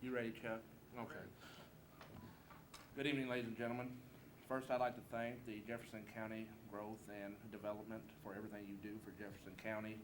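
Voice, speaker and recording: A man's voice: on the low side, level tone, fast talker. A man from southeast Missouri with a Southern American twang, giving a campaign speech as a political candidate. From Southeast Missouri, United States. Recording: noisy live event room recording.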